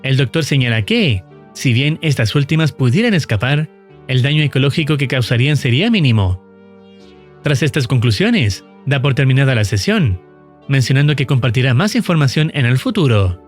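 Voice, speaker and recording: Male narrator, scripted recap, formal measured storytelling, animated intonation, faint music behind. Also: medium pitch. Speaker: narrator of a horror series recap.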